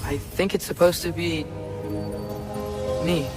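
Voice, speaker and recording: High youthful male voice, earnest, insistent, eager teenage hero, music behind, game cutscene. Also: steady tone, slow. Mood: puzzled. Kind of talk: video game cutscene acting.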